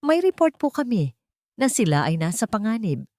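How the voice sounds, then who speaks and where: A woman plays a stern welfare officer in an audiobook drama. The delivery is formal and authoritative, with animated pitch in a dry recording. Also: normal speed, no echo.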